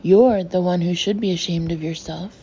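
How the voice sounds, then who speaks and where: A woman's voice: lowish voice, fairly even intonation, normal speed, dark tone, slightly rough. A woman voicing a bookish boy who speaks in a level, reproachful way. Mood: stern. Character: bookish boy, steady and stern. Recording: dull lo-fi with faint hiss.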